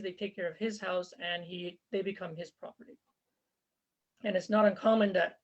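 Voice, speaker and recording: A woman raised in Canada and born in Zambia, speaking earnestly and conversationally as an interview guest, with frequent fillers. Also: fairly low pitch. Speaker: interview guest, former volunteer fighter. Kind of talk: casual spoken interview. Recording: dull lo-fi recording.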